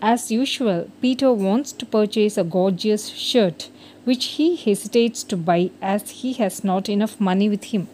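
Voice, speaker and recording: A woman's voice: medium pitch, a little slow, darkish tone, slight background noise, low-quality recording. A woman reading a scripted moral story for children as its narrator.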